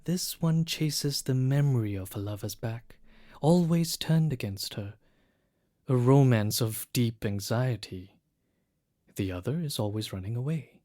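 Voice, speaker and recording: A man playing a solemn, mysterious guide through ghostly ruins, narrating an audio drama in a measured, poetic manner. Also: medium pitch, warm tone, close to an everyday voice. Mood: solemn, haunting. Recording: music under the voice.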